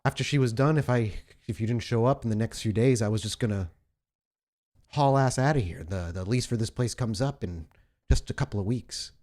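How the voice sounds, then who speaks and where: A man voicing an obsessed young female copycat killer in a tabletop role-play, defiant and evasive, halting and repeating words. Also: mid-range voice, a little mellow, natural voice. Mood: evasive, confessional.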